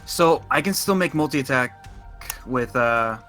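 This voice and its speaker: A man talks casually as a player at a tabletop game. His voice is bright and sits at a medium pitch, with faint music underneath. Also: lively intonation, a little slow.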